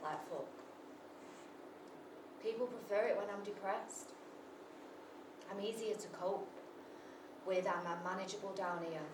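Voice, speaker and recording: A woman's voice: mid-range voice, steady tone, a little slow, long pauses, far from the mic, noisy background, lightly acted. An actress performing a monologue as a volatile mother with bipolar disorder, raw and given to swearing. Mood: despairing. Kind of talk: theatrical monologue. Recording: echoey stage recorded from afar.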